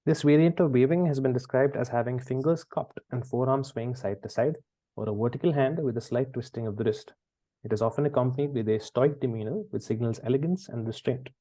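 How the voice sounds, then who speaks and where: A man's voice: medium pitch, a little fast, dark tone, no room sound. A man hosting a quiz, reading questions and chatting conversationally with the players. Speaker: quiz host.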